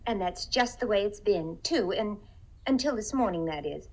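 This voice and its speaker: A woman's voice: medium pitch, animated, moderate pace, low-quality recording. A woman playing a naive, eager-to-please young receptionist in a 1950s radio comedy, rambling on sweetly and politely. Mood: chatty, helpful. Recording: vintage 1950 radio broadcast.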